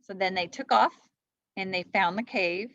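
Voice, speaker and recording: A woman's voice: mid-range voice, moderate pace, a bit bright, no echo. A woman reading a children's storybook aloud as a teacher would, in a slightly muffled recording. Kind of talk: storybook read-aloud narration.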